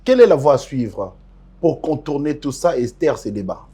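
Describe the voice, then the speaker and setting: A man's voice: mid-range voice, very animated, normal speed, mellow, husky. A man hosting a studio interview, who echoes his guest's words and asks probing questions. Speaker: interviewer, host.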